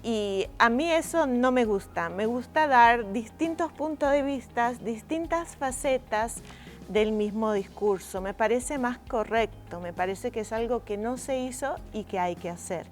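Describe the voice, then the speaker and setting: A middle-aged woman from Venice, a native Italian speaker, talking reflectively as an interviewed writer, expressive and often pausing to search for words. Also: on the high side, soft background music. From Venice, Italy.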